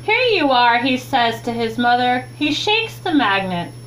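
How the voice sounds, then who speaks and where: A young adult woman reads a children's book aloud with expressive, deliberate phrasing, recorded in a small room at home on a webcam mic. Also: fairly high pitch. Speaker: teacher reading a children's book. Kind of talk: read-aloud storytime narration. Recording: clearly noisy, humming home recording.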